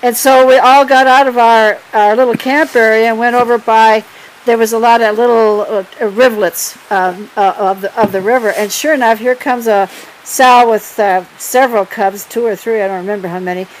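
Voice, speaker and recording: An older woman telling stories in a lively way, laughing often and prompting her partner. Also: a little high, moderate pace, a little hiss or hum, poor audio. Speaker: storyteller. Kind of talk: casual storytelling.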